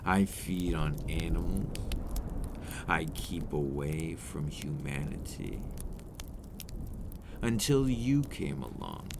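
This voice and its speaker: A man's voice: rumbling low, lively intonation, slow, vocal fry, long pauses, heavy background noise, full character voice. A man playing a brooding, possessive old vampire in an ASMR roleplay, speaking formally and theatrically and dropping into whispers. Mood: remorseful, brooding.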